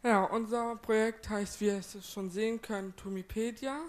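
A woman's voice: mid-range voice, fairly even intonation, moderate pace, a little mellow. A woman from Germany introducing her team's plant website project. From Wismar area, Germany. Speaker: student presenter.

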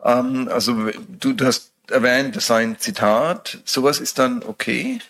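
A man's voice: medium pitch, a little slow, fairly bright tone. German guy in the audience asking something, hesitant, restarts a lot. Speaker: audience member asking a question.

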